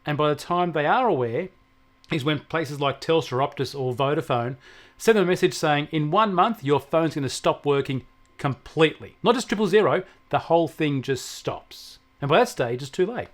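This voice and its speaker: A man's voice: fairly high pitch, expressive, normal speed. An Australian man talking conversationally as a technology commentator, explaining things emphatically and building his points through repetition. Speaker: technology commentator on a regular show.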